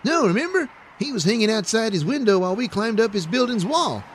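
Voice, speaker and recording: A man's voice: high-pitched, animated, slight background noise, voice pushed up. A man voicing a dim, whiny young sidekick in a comic audio play. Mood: dopey. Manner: whiny, childish, slow and dopey.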